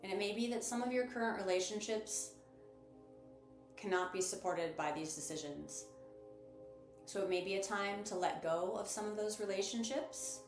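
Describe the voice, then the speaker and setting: A woman narrates a guided meditation in a mid-pitched voice. Her delivery is measured and instructive, with gentle encouragement. The sound is noticeably roomy, with music underneath. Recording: roomy echo with music behind.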